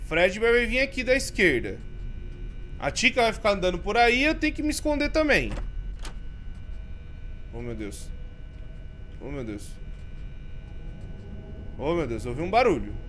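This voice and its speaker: A Brazilian man in his thirties, a streamer giving jumpy commentary as he plays a horror game, swinging from mumbles to yells. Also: high voice, wildly swooping pitch, measured pace, long pauses, slight background noise. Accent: Brazilian Portuguese. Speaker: gaming streamer. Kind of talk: let's play commentary. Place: home streaming room with colored lights.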